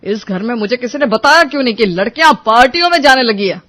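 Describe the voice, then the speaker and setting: A man's voice: extremely high pitch, lively intonation, quick pace, pushed and bright, heavily acted. A man playing a stern, domineering old father-in-law in a story drama, sarcastic and scolding. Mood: angry scolding. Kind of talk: Hindi moral-story audio drama.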